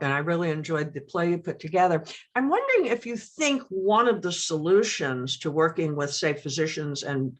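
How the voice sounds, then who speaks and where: A woman's voice: sits low, fairly bright tone. A woman in the audience of a talk, asking a spontaneous question in tentative phrasing.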